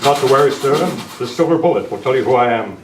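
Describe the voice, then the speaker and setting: A man playing a calm, commanding, courteous masked western hero in an amateur radio play. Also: medium pitch, fast, slight background noise, natural voice. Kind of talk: amateur old-time radio western re-enactment. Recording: echoey meeting room, distant mic.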